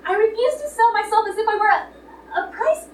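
Woman acting a very high-voiced defiant young socialite, echoey. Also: moderate pace. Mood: defiant, sarcastic. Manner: theatrical, sardonic. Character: defiant, sarcastic twenty-two-year-old society girl. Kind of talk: old-time live radio play acting. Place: live radio play performance in a roomy space. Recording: echoey room, far mics, faint noise.

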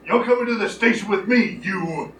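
A man's voice: high voice, normal speed, lightly acted. A man playing a bullying, blustering police officer in a live radio play, commanding and chivalrous. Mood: threatening. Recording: roomy, distant, faintly noisy stage recording.

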